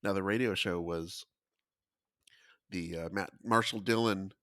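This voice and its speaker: A man's voice: deep voice, unhurried, a bit bright, no room sound, clean studio sound. A man giving explanatory podcast commentary in a conversational style, as a panelist.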